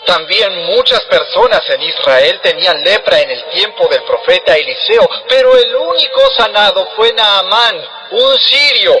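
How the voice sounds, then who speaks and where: A man plays a solemn religious teacher in a dramatized audio Bible. He declaims with authority in a bright, forceful voice, stressing his words. Soft music sits behind him. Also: sits high, animated, unhurried, heavily acted, low-quality recording. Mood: firm, challenging. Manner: declamatory, emphatic.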